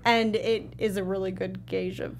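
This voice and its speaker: A woman's voice: mid-range voice, level tone, normal speed, vocal fry. A woman co-hosting a panel in casual, conversational chat. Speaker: panel co-host.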